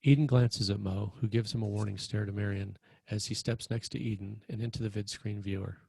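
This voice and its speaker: Deep, mellow man reading stage directions. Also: fairly even intonation, a little fast, gravelly, slightly rough, dry recording. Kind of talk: scripted screenplay narration at a table read.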